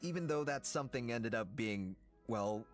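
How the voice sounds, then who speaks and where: A man's voice: mid-range voice, animated, normal speed, soft background music, lightly acted. An actor playing a loyal, supportive male kitchen worker in a video game. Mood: earnest.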